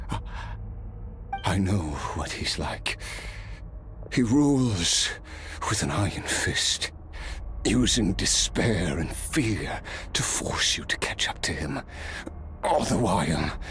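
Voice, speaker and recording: An actor playing a weary, remorseful immortal male warrior in a video game, slow and reflective, sometimes dropping to a hush. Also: low voice, a little hiss or hum, exaggerated voice.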